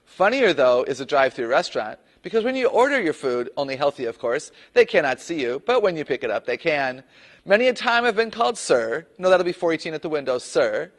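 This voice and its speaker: A woman with a notably low voice tells a personal story with lively, swinging intonation. She speaks as a practiced public speaker and drops playful asides along the way. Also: brisk. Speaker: guest speaker. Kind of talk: personal story told to camera.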